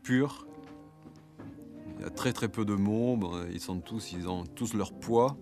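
Middle-aged guy, singer-songwriter, slow halting talk with lots of ums, music in the background. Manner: hesitant, many fillers, low and subdued when talking. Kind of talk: sit-down interview and studio singing. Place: recording studio, indoors.

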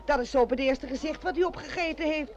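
Actress doing a fussy village butcher lady in an old radio play, high voice. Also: fast, a little mellow, close to an everyday voice. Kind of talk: old radio comedy drama acting.

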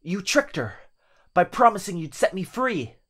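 A man's voice: sits high, big pitch swings, normal speed, a bit bright, pitched above the performer's own voice, full character voice. A man playing an earnest young boyfriend held captive in an ASMR roleplay drama, urgent and strained, protective and defiant. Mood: defiant, angry.

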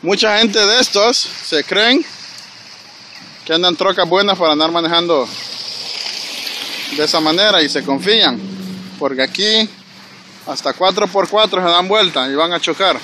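A chatty man with a high, bright voice, talking to his viewers as he walks down a street. Recorded outdoors on a handheld phone, with faint traffic noise behind him. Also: moderate pace, pauses often. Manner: chatty, addresses viewers directly, frequent "¿verdad?". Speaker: vlogger walking and filming. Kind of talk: casual walking vlog narration. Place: snowy city street outdoors. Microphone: handheld phone camera.

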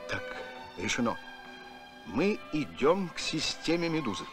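A man voicing a kind, decisive scientist father on a space expedition in an animated film, with a firm manner. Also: on the high side, measured pace, over music. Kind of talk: Soviet animated film acting.

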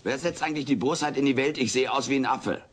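A man's voice: medium pitch, quick pace, close to an everyday voice. Cheeky furry alien played by a man, vain and sarcastic, sitcom audio drama, faintly noisy tape. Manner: sarcastic, mock-pompous. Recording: faint noise, old cassette audio drama.

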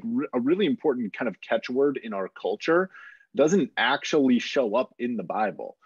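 A man's voice: lowish voice, darkish tone, breathy edge, no echo. A man talking thoughtfully and explaining ideas as a panelist on a video call. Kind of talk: roundtable discussion. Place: home room with bookshelves. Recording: dry, muffled video call.

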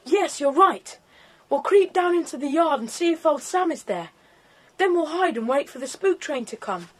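A woman's voice: high voice, animated, moderate pace. A woman playing a keen, sensible English schoolboy leader in a children's audio drama, with a British accent. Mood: brisk, confident. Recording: faint noisy 1990s cassette audio drama.

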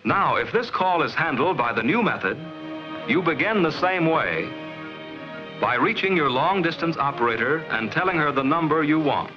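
American guy narrating an old 50s promo film, highish voice, muffled soundtrack w music. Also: lively intonation. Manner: measured, explanatory, clearly enunciated. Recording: dull vintage film soundtrack.

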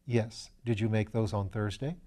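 A man reading a storybook as a calm, courteous gentleman detective, asking patient, gently coaxing questions in a measured way. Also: fairly low pitch, lightly acted.

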